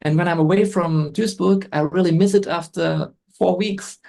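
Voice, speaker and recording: Gravelly man, hesitant. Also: high-pitched, fairly even intonation, moderate pace, a little mellow. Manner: hesitant, frequent restarts and fillers. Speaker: guest photographer. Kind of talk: podcast interview.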